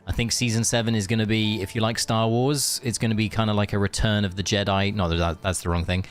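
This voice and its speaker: A man's voice: on the low side, level tone, fast, soft background music. A man chatting casually on a game live stream, rambling and answering viewers as he plays. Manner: rambling, chatty, reads chat aloud.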